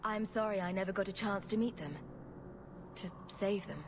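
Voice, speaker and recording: An actress playing a wry, loyal rogue heroine in a video game, with a dry, composed delivery. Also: female voice, mid-range voice, normal speed, background noise, close to an everyday voice. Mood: sincere. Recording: music behind.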